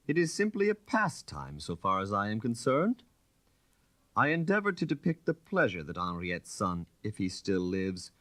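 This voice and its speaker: A man voicing an audacious young gentleman thief in an audiobook, courteous and teasing, with theatrical delivery. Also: lowish voice, no room sound, voice pushed up.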